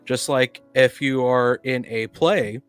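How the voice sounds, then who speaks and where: A man's voice: medium pitch, takes it slowly, smooth, no room sound, faint music behind. A man on a podcast panel in casual debate, arguing insistently and cutting in to press his point. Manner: argumentative, insistent, interrupting.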